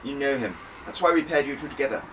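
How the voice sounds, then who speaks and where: A man's voice: mid-range voice, lively intonation, fast, distant mic, background noise, voice pushed up, natural voice. A man voicing a stern, weary older woman who runs an intelligence office, speaking in a dry, dismissive, commanding way for an audiobook. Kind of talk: audiobook character dialogue.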